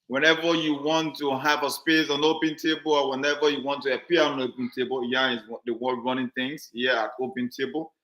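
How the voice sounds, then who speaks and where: A man hosts a community talk show in a joking, chatty way and often doubles back on his words. His voice sits at an ordinary pitch for a man. The sound carries a noticeable room echo. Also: sharp tone. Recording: roomy echoey sound.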